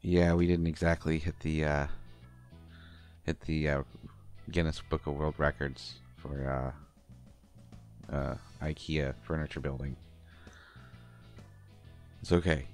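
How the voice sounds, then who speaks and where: A man playing an affectionate, bashful partner in an intimate roleplay audio, talking haltingly with stammers and gentle teasing. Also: extremely low pitch, little pitch movement, soft background music. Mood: tender, halting. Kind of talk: intimate roleplay audio acting, one side of a conversation.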